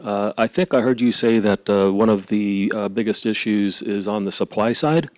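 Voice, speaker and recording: A man politely and haltingly putting an unscripted question to a panel from the audience. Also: on the low side, no room sound. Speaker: audience member asking a question.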